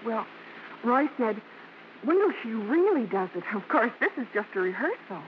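An actress playing a proud, spirited young American vaudeville dancer, tender yet easily indignant, in theatrical 1950s radio drama. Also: female voice, fairly high pitch, sing-song, normal speed. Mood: embarrassed, indignant. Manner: theatrical, emphatic. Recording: muffled, noisy old radio broadcast.

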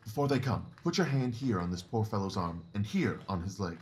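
Man acting as Victorian master detective, lowish voice, assured, precise, analytical, instructive, audio drama. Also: animated, fairly quick, a little hiss or hum, lightly acted. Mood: keen, instructive. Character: brisk, confident, analytical male Victorian detective.

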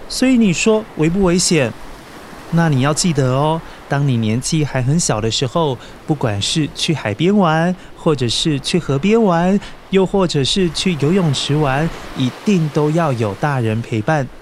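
A man's voice: on the high side, expressive, moderate pace, a little hiss or hum. A man with a Taiwanese Mandarin accent hosting a children's story podcast, explaining things simply and coaxingly to young listeners.

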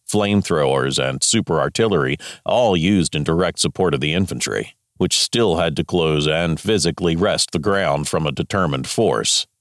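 Deep, dry-sounding man reading a dispatch. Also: dry recording. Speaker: reader of wartime military dispatches. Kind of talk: formal scripted reading of a military dispatch.

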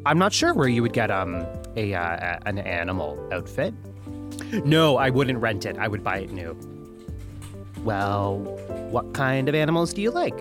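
An actor playing a polite, patient young man who works in phone customer service, tactful and a little halting, in a comedic audio drama. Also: lowish voice, very animated, music in the background. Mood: tactful, awkward. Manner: professionally courteous, tactful, halting on awkward questions.

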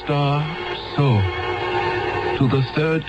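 An actor playing a cold, menacing alien master in 1970s radio drama, commanding in slow, incantatory phrases. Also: male voice, on the high side, fairly even intonation. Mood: solemn, commanding. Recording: dull 1970s radio recording under loud music.

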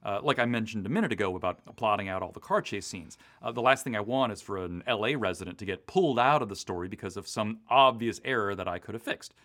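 An American man speaks as a podcast co-host in a conversational style. His pitch moves around freely, giving his explanations a lively contour. He is articulate and sets things out clearly. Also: fast, hardly any pauses. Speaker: podcast co-host and audio drama writer.

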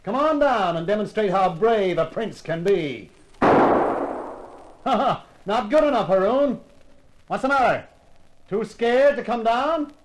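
An actor playing a wry, tough private eye in a 1950s radio crime drama. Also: male voice, high voice, lively intonation, slow, long pauses, a little hiss or hum, rough recording. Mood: taunting. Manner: wry, wisecracking. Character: wisecracking tough private eye, brave and flirtatious. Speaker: lead actor and narrator.

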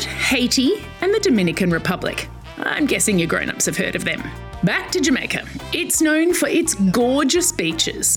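Aussie lady hosting a kids podcast, highish voice that bounces around a lot, playful asides, music in there. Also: a bit bright. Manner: addresses young listeners directly, with playful asides. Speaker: podcast host for children. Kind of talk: scripted educational narration for kids.

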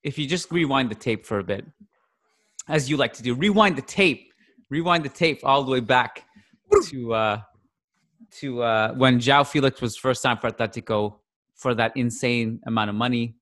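A man co-hosting a football podcast in a loose, casual chat. He rambles and restarts his sentences often as he works his thoughts out loud, at an ordinary mid pitch. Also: moderate pace. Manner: rambling, self-interrupting, thinks aloud. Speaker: podcast co-host.

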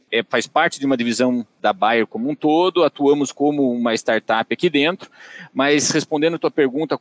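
A middle-aged man from Brazil with a caipira country accent from inland São Paulo. He talks as a guest in an interview at a steady, measured pace, stopping often to find his words. His pitch is in the middle range for a man. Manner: measured, with frequent hesitations. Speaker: guest, company director. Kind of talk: conversational interview.

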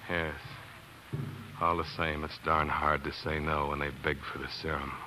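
A man's voice: extremely low pitch, flat delivery, normal speed, bright, forceful tone, a little hiss or hum, exaggerated voice. An American man acting in a 1940s radio drama as an earnest, grief-stricken doctor telling his own tragic story, on a vintage broadcast recording. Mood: earnest, weary.

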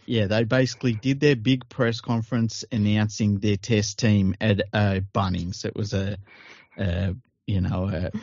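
A man from Western Sydney with an Australian accent, co-hosting a casual sports podcast, blunt and quick to swear and laugh at his own jokes. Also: on the low side, fairly even intonation, a little mellow, no room sound, highs cut off. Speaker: podcast co-host.

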